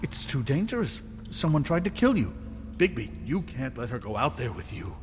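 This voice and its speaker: A man playing an officious game bureaucrat, fussy and disapproving. His pitch moves around a lot, and the voice rings in a long reverb. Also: sing-song, normal speed, music in the background, natural voice. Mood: disapproving, agitated. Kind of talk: video game voice acting.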